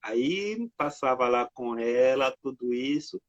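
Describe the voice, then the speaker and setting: A man from the Democratic Republic of the Congo answering interview questions over a video call, halting, with many filler words. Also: medium pitch, lively intonation, no room sound. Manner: halting, frequent "né" and "sabe" fillers. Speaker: artist and guest. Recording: dry lo-fi video call audio.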